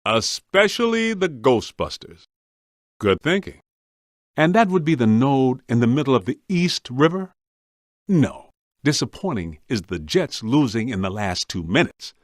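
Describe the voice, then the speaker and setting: A wry, streetwise ghost hunter with a very animated pitch that swings around, recorded dry and close. He grumbles and wisecracks like a working guy in a video game. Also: unhurried, no room sound. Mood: wry, grumbling. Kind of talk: scripted game voice lines.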